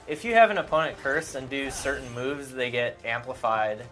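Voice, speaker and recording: A man's voice: mid-range voice, animated, normal speed, a bit bright, faint music behind. A man adding casual, explanatory commentary as a panelist on a game stream.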